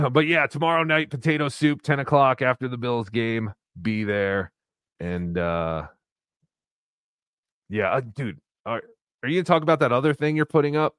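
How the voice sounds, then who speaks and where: A man's voice: mid-range voice, moderate pace, fairly bright tone, clean tone, dry recording, clean studio sound. A man co-hosting a livestream, reading donations and chatting casually, swearing and laughing as he talks. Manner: loose, swearing, laughs while talking. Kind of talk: casual profane chat.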